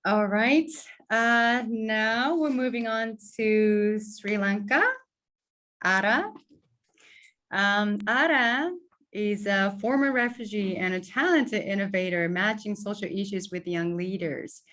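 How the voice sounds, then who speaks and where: A woman's voice: mid-range voice, slow pace, a bit bright. A woman hosting an online event, introducing speakers and thanking them, with frequent fillers. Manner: hesitant with fillers. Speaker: event host.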